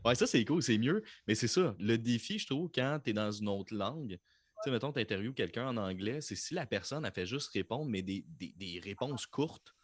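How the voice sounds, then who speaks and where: A man's voice: medium pitch, lively intonation, moderate pace, dry recording. A man with a Québécois accent hosting a podcast, easygoing and chatty. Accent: Québécois French. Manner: easygoing, laughs while talking. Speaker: podcast host. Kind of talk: casual chat.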